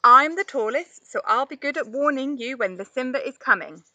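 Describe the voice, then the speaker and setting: Woman doing a tall stubborn girl animal from a storybook, firm and loud, high voice. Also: lively intonation, normal speed, dry recording, heavily acted. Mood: proud, confident. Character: tall, long-necked female animal, proud lookout, stubborn.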